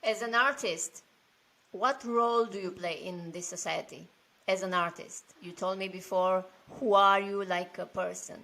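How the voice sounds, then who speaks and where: A woman's voice: medium pitch, fairly even intonation, normal speed, pushed and bright. A woman interviewing a guest, who asks short and slightly broken questions.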